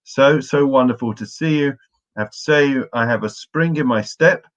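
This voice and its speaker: A hoarse man, losing his voice, giving a morning learning talk to his congregation and greeting listeners by name in a chatty way. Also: mid-range voice, normal speed, fairly bright tone. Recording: muffled lo-fi audio.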